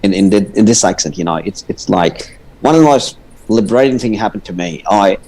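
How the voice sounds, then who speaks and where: A man's voice: lowish voice, a little fast, darkish tone, poor audio. A man from India with an Indian English accent and Punjabi as his first language, chatting casually as a guest and stammering, often restarting his sentences. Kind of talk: casual livestream chat.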